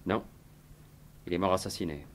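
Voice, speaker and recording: Guy playing a brisk polite gentleman detective, old 50s style radio mystery, bit of background noise. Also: deep voice, close to an everyday voice. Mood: grave, assured. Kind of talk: 1950s-style French radio mystery acting.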